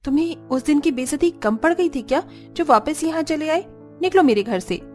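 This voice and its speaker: A woman's voice: high voice, expressive, moderate pace, a little mellow, soft background music. A woman voicing a proud, outspoken poor young village woman in a cartoon story. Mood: indignant. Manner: indignant, blunt, scolding.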